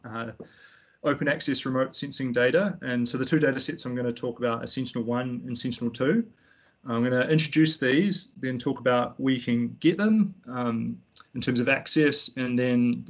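Relaxed Kiwi man on a call. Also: medium pitch, highs cut off. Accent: New Zealand. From New Zealand. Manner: relaxed, with frequent ums and restarts. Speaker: fisheries analyst giving a tutorial. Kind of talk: online slide presentation. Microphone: computer mic on a video call.

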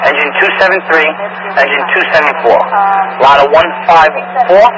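A man's voice: a little high, moderate pace. A man working as a fire dispatcher, speaking in terse, clipped, businesslike phrases over two-way radio. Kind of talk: terse radio dispatch, reading units and codes. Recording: noisy fire radio scanner audio.

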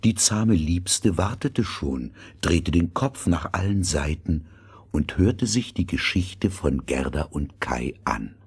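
A man's voice: low voice, lively intonation, slow pace, a little mellow, slightly rough. A man narrating a fairy tale in a scripted, storytelling style.

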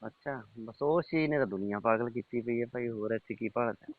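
A man's voice: mid-range voice, brisk, no echo. A middle-aged man, having a casual private chat over the telephone. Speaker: uncle on a phone call. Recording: tinny, band-limited phone call recording.

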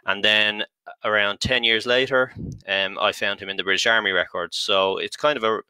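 Dublin man, 30s, low bright voice, dry close mic; casual webinar presenter, lots of ums. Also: fairly even intonation, moderate pace, clean tone, dry recording, poor audio. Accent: Dublin Irish. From Ireland. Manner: chatty, frequent ums and restarts. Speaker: genealogy company content strategist presenting a webinar. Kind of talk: live-streamed online talk.

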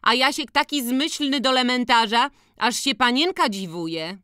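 A man's voice: very high-pitched, unhurried, pushed and bright, clear, resonant tone, no echo, clean studio sound, voice pushed up, exaggerated voice. A man voicing a timid, worried peasant woman in an audiobook, plaintive and nagging in rural dialect. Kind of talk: dramatized audiobook reading of rural dialect dialogue.

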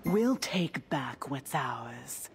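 A woman's voice: extremely low pitch, very animated, very slow, faint music behind, exaggerated voice. Swaggering undead pirate queen. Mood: menacing. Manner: theatrical, taunting, salty pirate talk. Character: menacing, swaggering undead pirate queen captain, dry-humored. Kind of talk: video game character voice lines.

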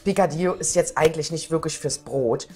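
A woman's voice: low-pitched, brisk, a little mellow, faint music behind. A middle-aged woman chatting casually as she demonstrates a recipe in a home kitchen. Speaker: home cook presenting a recipe. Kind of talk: casual cooking demonstration chat.

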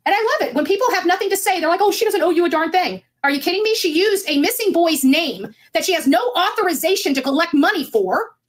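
A middle-aged woman with an extremely high voice talks on a livestream. She argues her case insistently, in a combative way. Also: expressive, fast talker, hardly any pauses. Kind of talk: argumentative livestream monologue.

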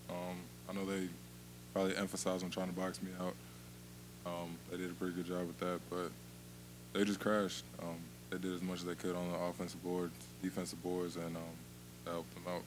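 Very low, monotone young athlete. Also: male voice, a little fast, background noise. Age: young adult. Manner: quiet, subdued, monotone with fillers. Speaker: college basketball player. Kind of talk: press conference answer. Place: indoor press room.

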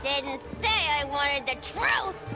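A woman's voice: sits high, expressive, takes it slowly, music in the background. A woman playing a playful, mischievous villainess in a video game, with a teasing way of talking.

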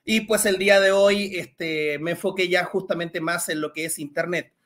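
A man in his thirties from Chile, with a Chilean accent mixed with Mexican Spanish, casually explaining biology in a live Q&A over a headset at home, with a relaxed manner and many filler words. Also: high voice, normal speed. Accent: Chilean with some Mexican Spanish. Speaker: science communicator guest. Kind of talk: casual Q&A talk on a video call. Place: small room at home. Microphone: headset on a video call.

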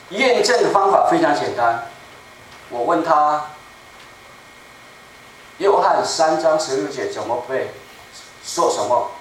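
A man in his seventies preaching a sermon in a small church, speaking slowly and emphatically and repeating his key phrases. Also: on the high side, animated, fairly bright tone. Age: 70s. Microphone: room mic from a far camera. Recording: echoey room, distant mic, faint noise.

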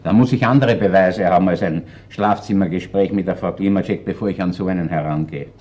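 An actor playing a shrewd, unhurried Viennese chief inspector in a crime radio play, speaking deliberately and wryly with an Austrian accent. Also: male voice, deep voice, a little mellow, lightly acted. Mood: wry, explaining.

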